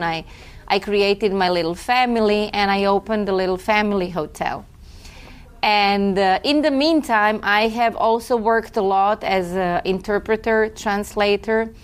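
A woman speaks as an interview guest at a middle pitch. She talks in an unhurried, reflective way and corrects herself now and then. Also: clean tone. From Croatia. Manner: reflective and chatty, with occasional laughs and self-corrections. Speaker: guest, film festival director. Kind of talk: relaxed conversational interview.

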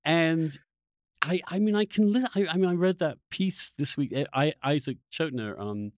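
A man's voice: a little high, normal speed, dry recording, clean studio sound. A man hosting a podcast, talking in an opinionated, unscripted way, emphatic and often restarting his phrases. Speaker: podcast host.

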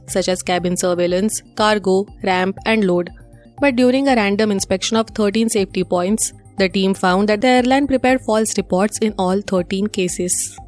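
Indian lady reading the news, scripted presenter style, medium pitch, music going behind her. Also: darkish tone.

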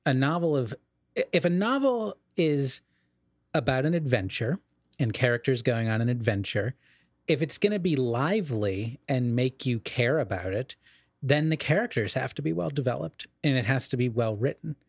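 A man with a mid-pitched voice talks through literary ideas in a conversational podcast style. He is erudite and explanatory, often halting and rephrasing mid-thought. The sound is close and dry, with no room around it. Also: animated, gravelly, no echo.

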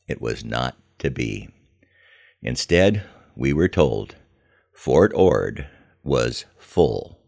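A man's voice: a bass voice, gravelly, darkish tone. An older man hosting a podcast, telling personal stories in a conversational way with wry, self-deprecating humor. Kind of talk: conversational first-person storytelling.

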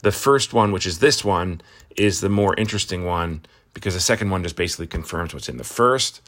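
A man with a very low voice talks through the morning's market news in a loose, conversational way. He often stops and restarts his sentences and fills gaps with ums. His voice is slightly hoarse from a cold. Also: lively intonation, a bit bright. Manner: conversational, halting with restarts and fillers. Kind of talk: casual morning market rundown.

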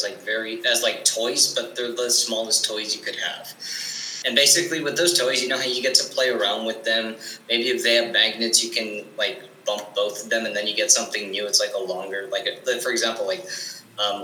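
Eager teenage boy, bright voice. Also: lowish voice, roomy sound, a little hiss or hum, low-quality recording. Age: teens. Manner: eager, restarts often, many likes and ums. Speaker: guest. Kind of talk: casual interview answers.

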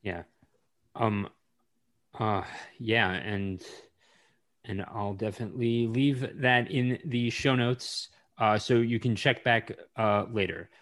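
A man's voice: fairly low pitch, steady tone, takes it slowly, fairly bright tone. A man hosting a podcast interview, asking questions in a hesitant way with many fillers. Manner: hesitant, lots of uhs when asking questions. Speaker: podcast host. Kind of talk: casual interview.

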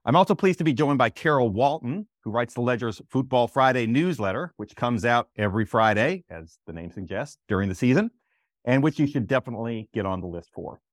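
A man's voice: medium pitch, lively intonation, fairly quick, dry recording. A man hosting a podcast, reading a polished scripted intro and then asking interview questions. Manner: scripted, smooth intro delivery. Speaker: podcast host.

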